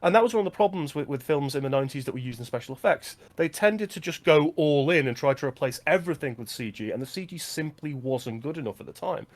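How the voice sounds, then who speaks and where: A man co-hosting a film podcast, chatting casually with quick asides and frequent laughs. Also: a little high, lively intonation. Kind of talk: casual chat.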